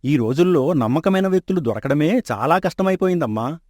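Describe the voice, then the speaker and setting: An actor playing a kindly, trusting older father in a storybook tale, speaking gently. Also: a little high, lively intonation, a little fast, dry recording, studio quality. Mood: fatherly.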